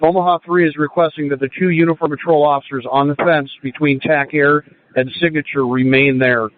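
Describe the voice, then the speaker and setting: A man's voice: fairly high pitch, fairly even intonation, brisk, dry recording. Man relaying on lo-fi police radio. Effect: police two-way radio.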